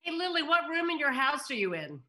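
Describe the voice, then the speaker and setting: A woman's voice: high-pitched, very animated, a little fast, bright, forceful tone. A middle-aged woman on a home video call, informally hosting a table read and narrating stage directions. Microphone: computer video call.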